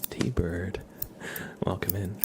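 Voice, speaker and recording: A man livestreaming ASMR, soft-spoken and playful, slipping between a murmur and a whisper as he chats and roleplays. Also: deep voice, level tone, very slow, background noise. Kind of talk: ASMR live chat with roleplay, partly whispered. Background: scissor sounds.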